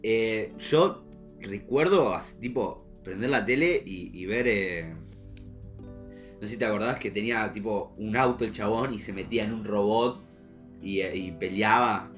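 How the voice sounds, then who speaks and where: Young Argentine male co-host, lowish voice, informal and halting, loose conversational delivery over faint music. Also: a bit bright. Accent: Argentine Spanish. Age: young adult. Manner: informal, slangy, halting with repeated false starts. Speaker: podcast co-host. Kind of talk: casual chat.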